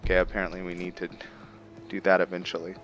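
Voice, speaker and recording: A man doing casual roleplay commentary over a video game, thinking aloud in a halting way. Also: sits low, completely flat delivery, a bit bright, dull audio. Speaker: gaming video host. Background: game music.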